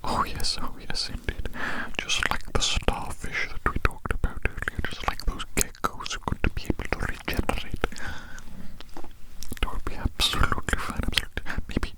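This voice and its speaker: An ASMR roleplay host speaking in a breathy, unvoiced whisper very close to the microphone, lingering over words and repeating phrases soothingly. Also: normal speed, low-quality recording.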